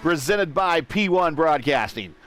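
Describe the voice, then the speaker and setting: A man calling play-by-play for an online sim racing broadcast, steady through caution laps and rising in pitch and volume at restarts. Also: measured pace, slight background noise. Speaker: lead race commentator.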